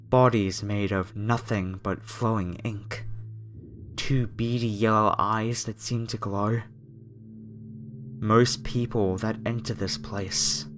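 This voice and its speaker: A man playing a menacing, reverent cult fanatic in a horror ASMR roleplay, speaking to a captive in a theatrical, falsely soothing way. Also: on the low side. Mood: musing, envious. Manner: slow, theatrical, preacher-like, softly coaxing. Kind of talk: horror ASMR roleplay, scripted monologue to the listener. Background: faint music.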